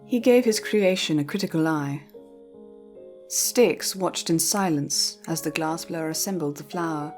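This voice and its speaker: A woman storyteller reading scripted fiction narration in a measured, careful way. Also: low-pitched, a little mellow, soft background music.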